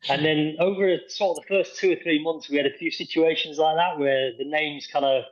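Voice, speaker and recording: A man's voice: fairly high pitch, steady tone, a little fast, muffled recording. A man from Yorkshire, a guest on a podcast telling a story over a video call, hesitant with lots of ums and the odd chuckle. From Yorkshire, England. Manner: rambling, many ums, chuckles through story. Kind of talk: casual podcast interview.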